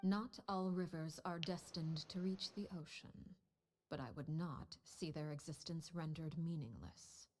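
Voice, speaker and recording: An actress playing a composed, calculating female leader who speaks in smooth, measured words with veiled threats. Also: on the low side, a little mellow.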